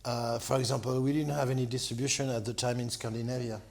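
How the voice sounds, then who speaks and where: A man from France, a champagne producer telling stories in a rambling, animated way, full of restarts and "you know". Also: mid-range voice, quick pace, creaky. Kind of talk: storytelling in casual conversation.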